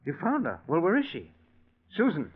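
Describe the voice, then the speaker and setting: A stubborn, easily agitated father from an old radio suspense play. His voice sits a little high for a man, with emphatic, theatrical phrasing. The sound is a muffled vintage broadcast recording. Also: wildly swooping pitch, breathy edge, heavily acted. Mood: anxious. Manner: theatrical, emphatic, halting when shaken. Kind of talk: 1940s radio suspense drama acting.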